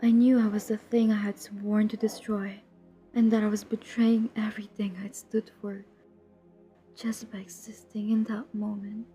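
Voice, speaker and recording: A woman playing a centuries-old, guilt-ridden but determined vampire hunter in an intimate audio roleplay, speaking in a hushed, confessional, breathy voice that often drops to a whisper. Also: medium pitch, level tone, soft background music, close to an everyday voice. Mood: haunted, pained. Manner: hushed, confessional, halting, earnest. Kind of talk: intimate dramatic audio roleplay addressed to the listener.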